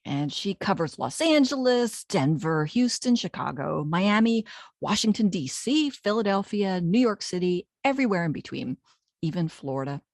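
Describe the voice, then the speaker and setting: A woman's voice: on the low side, sing-song, moderate pace, darkish tone, no room sound. Chatty woman podcast host, dry mic. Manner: chatty, laughs easily. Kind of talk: casual interview chat. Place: indoor room. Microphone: studio mic.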